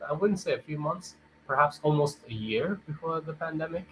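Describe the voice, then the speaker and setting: A young man with a bright, forceful voice chats easily on a video call, pausing often with little fillers. Born in Thailand and raised in Canada, he talks like a friendly English tutor. Faint room sound hums behind him. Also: a little high, animated, a little hiss or hum, low-quality recording. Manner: friendly, hesitant with fillers, laughs softly. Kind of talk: casual video-call chat. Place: home in a big city.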